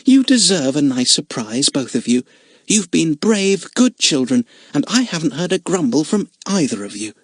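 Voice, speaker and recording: A man's voice: fairly high pitch, very animated, normal speed, husky, no echo, voice pushed up. A man voicing a kindly motherly woman in a storybook reading. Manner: gentle and kindly. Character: warm motherly woman running a caravan home.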